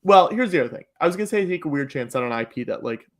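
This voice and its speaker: A man's voice: on the high side, expressive, racing. A man chatting casually about TV and film, hedging and often repeating his phrases. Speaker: podcast speaker.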